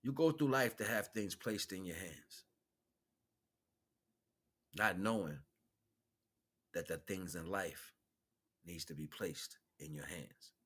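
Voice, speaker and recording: Bright-voiced American man, informal spiritual monologue. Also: sits low, takes it slowly, long pauses, husky. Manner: animated, colloquial, preaching with repeated phrases. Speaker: livestream host.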